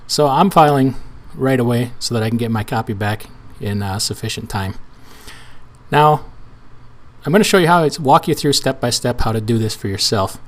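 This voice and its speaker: A man with a hoarse, weak voice walking viewers through filling out a form on screen, in a casual, rambling way with restarts and fillers. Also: medium pitch, expressive, moderate pace. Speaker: trucking channel host. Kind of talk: screen-share tutorial walkthrough.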